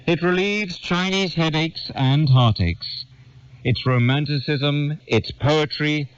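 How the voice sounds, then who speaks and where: A man reads a literary essay aloud in a measured, formal, declamatory way. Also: mid-range voice, no room sound, dull audio. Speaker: reader of an essay. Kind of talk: scripted narration, reading a literary essay aloud.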